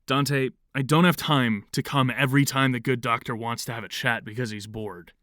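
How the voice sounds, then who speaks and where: An actor in an audio drama playing a stern, impatient commune leader with a curt, no-nonsense manner. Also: male voice, mid-range voice, fairly even intonation, normal speed, a bit bright, no room sound, clean studio sound, lightly acted. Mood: weary impatience.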